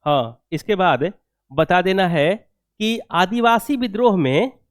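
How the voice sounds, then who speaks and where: High-pitched male history teacher, lecturing. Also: expressive, slow, dry recording, studio quality. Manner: didactic, repeats phrases and asks rhetorical check questions. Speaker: exam coaching history teacher. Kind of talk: classroom lecture with dictated answer points.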